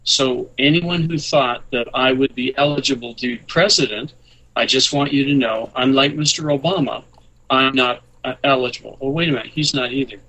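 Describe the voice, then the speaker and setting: A man's voice: medium pitch, normal speed, bright, forceful tone, poor audio. A man in his sixties, born in Scotland, talking as a radio guest with wry asides and many hesitant fillers. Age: 60s. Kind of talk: casual interview talk.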